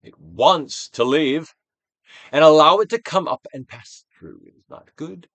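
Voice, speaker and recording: A man giving a dramatic, Shakespearean-style reading of a self-help book, deliberate and theatrical, with drawn-out emphasis. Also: dry recording. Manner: theatrical Shakespearean delivery, swinging from hushed to booming. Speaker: audiobook reader.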